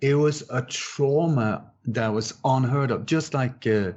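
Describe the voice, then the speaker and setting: A man's voice: medium pitch, a little slow, darkish tone. A man in his sixties who grew up in Sweden, answering interview questions emphatically, repeating words and often restarting his sentences. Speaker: guest researcher. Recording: muffled, dull-sounding audio.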